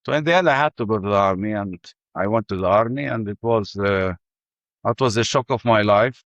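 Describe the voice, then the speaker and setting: An older man with an Arabic accent telling his life story in halting English full of restarts. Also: fairly low pitch, fairly bright tone, no echo, muffled recording. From Ramallah. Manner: halting, many restarts and fillers. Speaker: interview guest. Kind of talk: personal testimony.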